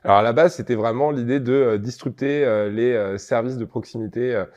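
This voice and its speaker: A man's voice: medium pitch, a little fast, darkish tone. A young man from the Paris region, a startup founder, answering interview questions with many hesitations and repeated words. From Paris region, France.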